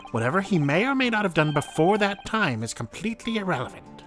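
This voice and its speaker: A haughty male prosecutor from a courtroom game, voiced by a man, speaks down to everyone with open scorn. The pitch sits raised, and music plays behind. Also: sing-song, fast talker. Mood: scornful, haughty. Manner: haughty, condescending. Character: pompous, scornful male prosecutor scolding an amateur.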